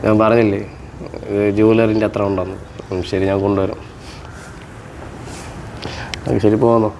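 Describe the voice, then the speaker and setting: A man's voice: fairly low pitch, little pitch movement, normal speed, creaky, over music, rough recording. A man chatting casually and playfully as a prank host, teasing and repeating his questions insistently.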